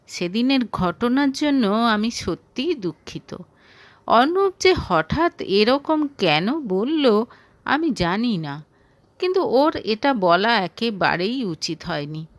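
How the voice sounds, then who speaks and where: A woman's voice: a little high, no room sound. A woman voicing a friendly, courteous and persuasive young man in an audio story.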